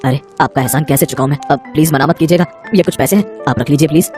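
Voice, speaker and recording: A woman's voice: sits low, fast, darkish tone, soft background music, pitched below the performer's own voice, lightly acted. A woman voicing a grateful, generous well-off father in a children's story.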